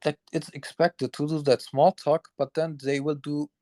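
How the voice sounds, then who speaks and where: A man hosting a casual interview podcast, asking loose questions packed with fillers, sometimes in quick bursts. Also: a little high, level tone, darkish tone, no room sound, studio quality. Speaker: podcast host.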